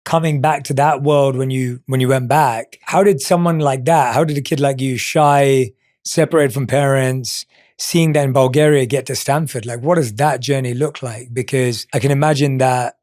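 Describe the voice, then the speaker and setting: A man's voice: mid-range voice, steady tone, normal speed, a little mellow, no echo, studio quality. A man hosting a podcast, asking conversational interview questions and giving short, empathetic affirmations.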